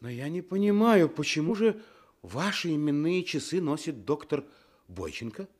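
An actor playing an effusive, easily astonished gentleman with a husky voice in a Soviet radio play. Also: a little high, sing-song, normal speed.

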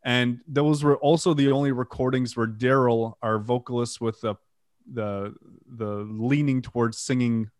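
A French Canadian man talks about his band in a relaxed, meandering way, full of "like" and restarts. His voice sits at an ordinary middle pitch. The recording is dry and close, with no room sound. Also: a little slow, no room sound. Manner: rambling with fillers, self-deprecating, swears casually. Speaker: band vocalist and guitarist. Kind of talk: casual interview, storytelling.

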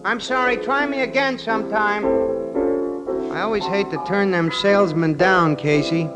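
Man playing high-voiced, folksy, talkative bartender. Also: moderate pace, natural voice, poor audio. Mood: folksy chatter. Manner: folksy, ungrammatical, rambling. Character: talkative, folksy working-class bartender, good-natured and slow-witted. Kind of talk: 1940s radio drama acting. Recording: old radio recording, organ music.

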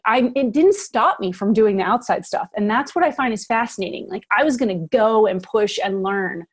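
A woman in her forties speaks as a guest on a conversational show. She talks in a candid, reflective way, speeding up in bursts and laughing now and then, at a middle pitch. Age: 40s. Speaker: podcast guest. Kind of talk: casual interview chat.

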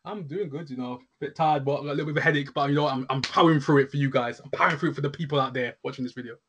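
A man co-hosting a show, talking casually in an excitable, slangy way. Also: medium pitch, very fast, breathy edge. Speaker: co-host reviewing shows. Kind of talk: casual chat.

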